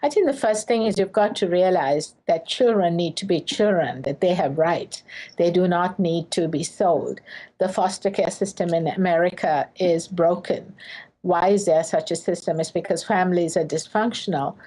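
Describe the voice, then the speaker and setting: A woman's voice: fairly low pitch, normal speed, dark tone, rough recording. A woman from Sri Lanka, a professor, answering interview questions earnestly in short phrases with fillers.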